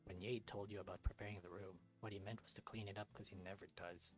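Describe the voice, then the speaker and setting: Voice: low-pitched, fairly even intonation, fast. A person reading visual novel narration aloud as a let's-read. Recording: music under the voice. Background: game music.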